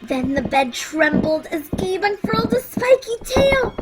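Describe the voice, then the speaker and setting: A woman reading a children's picture book aloud, playful and expressive. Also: very high-pitched, a little slow, over loud music, low-quality recording. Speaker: children's story reader. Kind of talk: read-aloud storytelling.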